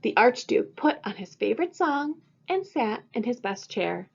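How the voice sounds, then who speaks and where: A woman's voice: fairly high pitch, sing-song, moderate pace, vocal fry, breathy edge. A woman who teaches first grade, reading a picture book aloud expressively for children. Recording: dull lo-fi audio.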